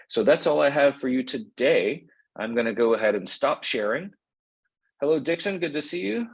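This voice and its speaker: A man with a slightly low, husky voice hosts an online information session over a video call. He talks in an easygoing, conversational way, padding his sentences with fillers as he goes. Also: rough recording. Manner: relaxed, conversational, many fillers. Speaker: associate dean hosting an info session. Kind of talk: webinar presentation and hosting. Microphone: computer mic on a video call.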